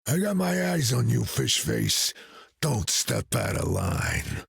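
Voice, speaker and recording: A rough, streetwise rock brawler for a video game. He is extremely gravelly and raspy, drawing his blunt words out slowly. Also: medium pitch, huge pitch swings, dry recording, studio quality, exaggerated voice. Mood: threatening. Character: gruff, suspicious, streetwise male rocky brawler.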